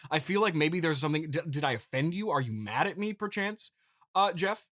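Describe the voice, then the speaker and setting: Bright-voiced, stammering man hosting a comedy podcast. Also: a little high, animated, dry recording, clean studio sound. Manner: halting, stammering, repeats words. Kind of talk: improvised comedic monologue reading listener feedback.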